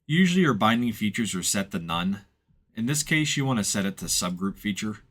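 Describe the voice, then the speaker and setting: A man narrating an informal software walkthrough in a plain-spoken, explanatory way, hedging as he goes. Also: fairly low pitch, big pitch swings, a little fast. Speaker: tutorial presenter and firefighter.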